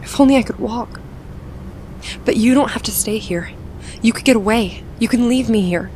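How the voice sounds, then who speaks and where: A woman's voice: a little high, normal speed, a little mellow, a little hiss or hum, natural voice, poor audio. A woman voicing an injured young female captive, subdued, pained and selfless, in an audio fan fiction. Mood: weak, resigned.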